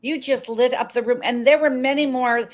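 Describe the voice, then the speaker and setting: A woman hosts an online interview show in a fairly high voice. She talks effusively and gushes, and she often restarts her sentences as she addresses her audience directly. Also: fairly even intonation. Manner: effusive, gushing, quick with restarts. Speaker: show host. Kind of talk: casual interview chat.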